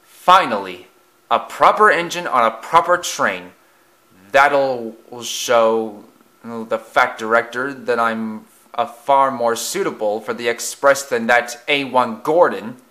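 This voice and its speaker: A man voicing a smug, excitable steam engine in a children's railway story, speaking in urgent exclamations. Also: medium pitch, takes it slowly. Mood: gloating.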